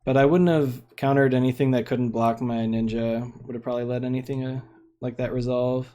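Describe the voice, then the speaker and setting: A young man talks through his card game in a relaxed, rambling way, full of fillers and half-finished thoughts. His voice is mellow and of middling pitch. Faint mouse clicks sit behind him. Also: a little fast. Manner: laid-back, rambling, lots of fillers. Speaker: streamer playing a card game. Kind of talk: casual gameplay commentary.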